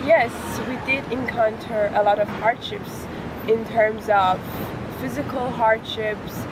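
A woman's voice: on the high side, fairly even intonation, slow. A woman of twenty-one from Iran, answering questions in an interview. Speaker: interviewee, bike tour participant. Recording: clear outdoor ambient noise.

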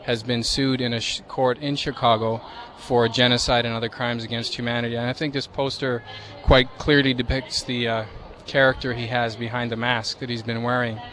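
A man speaks deliberately and now and then pauses on a filler. His voice is mid-pitched and flat, with little rise and fall. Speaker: interviewee at a parade.

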